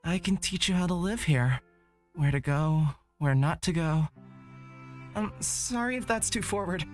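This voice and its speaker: A woman voicing a timid, gentle young male companion in a visual novel, hesitant and apologetic. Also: fairly low pitch, unhurried, lightly acted. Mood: shy, apologetic. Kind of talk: visual novel character reading. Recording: background game music.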